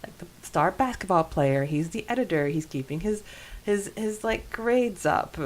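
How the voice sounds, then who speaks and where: A woman's voice: mid-range voice, expressive, moderate pace. A woman co-hosting a podcast, talking in a chatty, informal way about a book. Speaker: podcast co-host. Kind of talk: casual book discussion chat.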